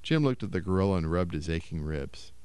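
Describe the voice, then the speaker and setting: A man reads a short story in a low, measured voice. His tone is smooth and resonant, and the recording is close and dry. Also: expressive, a little mellow, dry recording. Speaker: story reader.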